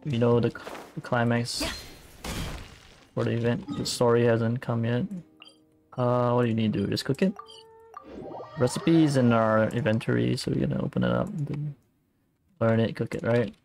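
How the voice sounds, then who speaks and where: Slow, creaky-voiced male game streamer. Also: medium pitch, fairly even intonation, darkish tone. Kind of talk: casual gameplay commentary. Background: game music.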